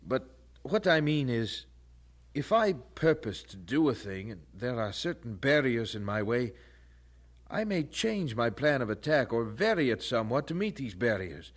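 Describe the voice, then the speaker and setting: A man's voice: mid-range voice, sing-song, unhurried. An actor playing a devout young male student, thoughtful and intense, with formal, earnest phrasing in an audio drama. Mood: earnest, pondering. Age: young adult.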